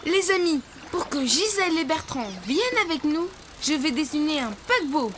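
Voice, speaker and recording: Very high-voiced confident little boy. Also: animated, normal speed, fairly bright tone, a little hiss or hum. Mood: reassuring. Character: confident, helpful young boy cartoon hero. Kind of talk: children's cartoon voice acting.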